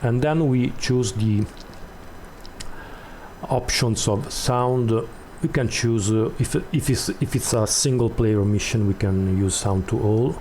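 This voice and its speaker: Male tutorial host, unhurried and halting, slightly soft-toned, with light background noise. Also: fairly low pitch. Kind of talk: step-by-step screen tutorial, unscripted explanation.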